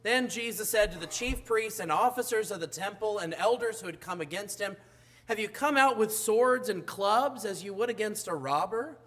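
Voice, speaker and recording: A hoarse man delivers a church sermon in a high-pitched voice. He keeps stumbling into fillers and restarts as he speaks. His voice sounds rough and strained. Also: lively intonation, fairly bright tone. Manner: halting, frequent uh fillers and restarts. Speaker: preacher.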